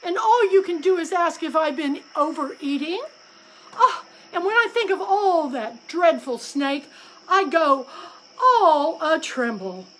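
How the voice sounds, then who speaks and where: A hen crow, put on by a woman reading aloud, frets and wails in a thin, lofty voice. Her complaints come out tearful and wounded, stretched slow for effect. She sounds like a storybook housewife at the end of her patience. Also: very high voice, animated, a bit bright, a little hiss or hum, voice pushed up, full character voice, poor audio. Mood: tearful outrage. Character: fretful, dramatic female crow housewife.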